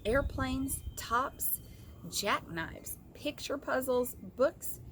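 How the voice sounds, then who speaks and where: A woman reading a children's story aloud as an expressive storyteller. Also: high-pitched, very slow, slight background noise.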